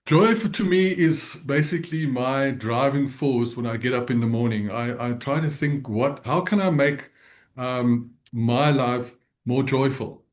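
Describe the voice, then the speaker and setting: A man whose first language is Afrikaans, speaking as an interview guest in a gentle, earnest way and laughing often. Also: medium pitch, unhurried. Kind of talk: reflective interview talk.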